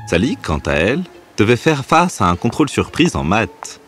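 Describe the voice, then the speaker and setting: Deep, lively male storyteller. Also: moderate pace, soft background music. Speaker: narrator. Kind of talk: scripted children's story narration.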